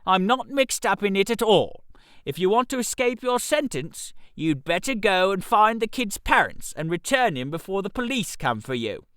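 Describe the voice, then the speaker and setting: A man's voice: high-pitched, sing-song, moderate pace, fairly bright tone, clean tone, no room sound, studio quality. A man voicing an aggrieved, blame-shifting friend, bitter and theatrical, in a comic radio story. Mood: indignant.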